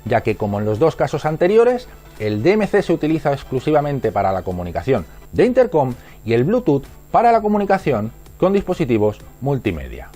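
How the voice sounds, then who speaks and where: A man's voice: mid-range voice, huge pitch swings, fairly quick, dark tone, soft background music. A man with an accent from Spain reading scripted instructional narration in a methodical, didactic manner. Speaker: narrator of a product explainer.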